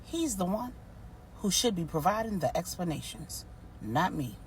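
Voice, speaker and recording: A woman voicing a proud, independent older matriarch in a story, blunt, folksy and measured. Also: fairly low pitch, very animated, slight background noise. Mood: curt.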